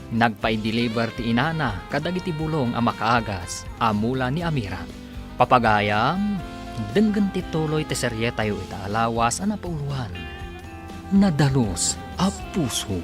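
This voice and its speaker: A man narrating and announcing a radio drama serial in a scripted, dramatic style. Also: mid-range voice. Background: instrumental music.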